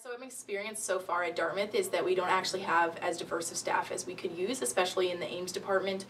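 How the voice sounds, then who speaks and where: Young woman, even lowish voice. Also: quick pace. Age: young adult. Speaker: college student. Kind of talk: casual interview opinion. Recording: distant mic, faint room noise.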